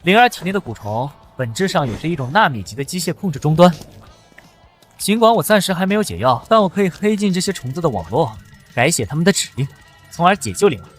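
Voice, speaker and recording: A man voicing a cocky, fearless young hero, swaggering and confident, in an animated fantasy drama. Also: fairly high pitch, fast, soft background music. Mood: confident, explaining. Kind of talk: animated xianxia drama dubbing.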